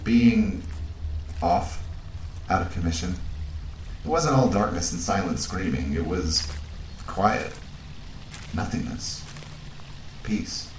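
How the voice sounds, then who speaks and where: Deep-voiced, measured male android: dry, clinical, caring, audio drama, roomy echo with faint noise. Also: lively intonation. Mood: quiet, reflective. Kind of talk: naturalistic audio drama acting.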